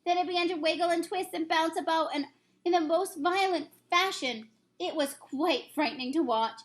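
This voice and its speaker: A woman reading a children's story aloud in expressive narration. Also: very high-pitched, moderate pace. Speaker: reader, teacher.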